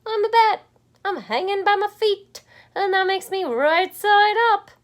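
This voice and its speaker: A woman voicing a blunt, know-it-all bat in a storybook, loud and emphatic as it corrects others. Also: very high voice, expressive, measured pace, pitched above the performer's own voice. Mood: insistent.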